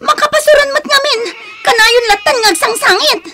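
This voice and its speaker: A woman's voice: extremely high pitch, normal speed, very noisy. An actress with an Ilocano accent playing a troubled, bitter young unwed mother in a melodramatic radio drama style. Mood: tearful exasperation. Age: young adult. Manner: melodramatic, aggrieved.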